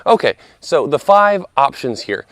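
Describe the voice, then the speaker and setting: A man in his thirties, slightly hoarse from a lingering cough, giving an earnest, conversational commentary with playful asides as host of his video channel. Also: on the high side, sing-song, a little slow, fairly bright tone, no room sound. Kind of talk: casual scripted commentary.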